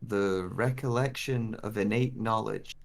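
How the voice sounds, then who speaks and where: Man acting a low-voiced searcher with lost memory. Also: measured pace, vocal fry. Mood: pensive, searching. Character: bewildered searcher with lost memory, reading found notes. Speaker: actor reading a script. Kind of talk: dramatic first-person narration, script readthrough.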